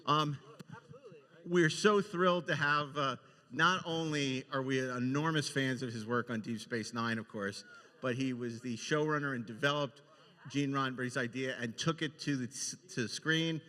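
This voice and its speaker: A man hosting unscripted, introducing things effusively and enthusiastically, with a halting, filler-heavy delivery. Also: mid-range voice, pushed and bright. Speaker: podcast and convention panel host. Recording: echoey hall with long reverb.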